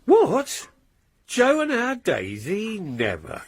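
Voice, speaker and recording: An actor playing a genial, chatty, gossip-loving husband in a radio drama. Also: male voice, high voice, huge pitch swings, takes it slowly, no echo, full character voice. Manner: chatty, eager, chuckling.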